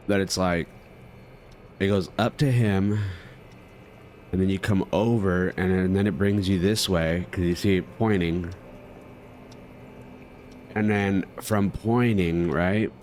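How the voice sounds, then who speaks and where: A man's voice: sits low, darkish tone, a little hiss or hum. A man giving casual let's play commentary, rambling in slang and talking to his viewers. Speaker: gaming video host.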